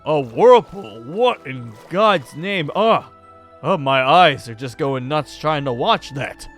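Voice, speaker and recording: A man's voice: a little high, expressive, slow, a bit bright, heavily acted. A man playing a curious, easily impressed young gunslinger in an improvised Minecraft roleplay, talking casually and full of questions. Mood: impressed, incredulous. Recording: background music under the voice.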